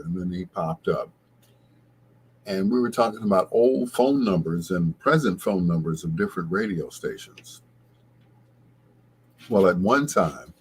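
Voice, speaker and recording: A middle-aged American man with a husky voice hosts casual late-night radio, rambling along like a reminiscing storyteller. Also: low-pitched, slight background noise. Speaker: radio show host.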